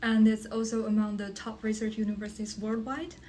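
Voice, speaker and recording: A woman's voice: on the high side, steady tone, fairly quick, mellow. A young woman from China, a native Mandarin speaker, explaining things conversationally as a student guest. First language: Mandarin Chinese. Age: young adult. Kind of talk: casual explanatory chat. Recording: faint background noise, room ambience.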